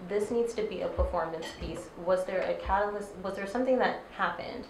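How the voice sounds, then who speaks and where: A woman moderating a live artist talk, asking long, measured questions into a handheld mic. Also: mid-range voice. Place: small gallery room. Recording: roomy with noisy background.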